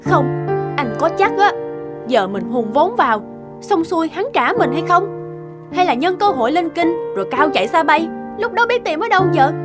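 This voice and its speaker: A woman's voice: very high voice, very animated, normal speed, loud music behind the voice. A woman playing a distrustful, nagging village wife in a cartoon, scolding indignantly. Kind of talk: animated moral tale acting.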